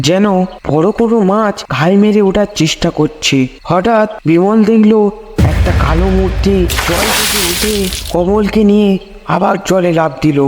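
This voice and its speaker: A man with a fairly high voice narrates a scripted horror tale. His delivery is that of a storyteller, and long reverb trails each phrase. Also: a little mellow, a little hiss or hum.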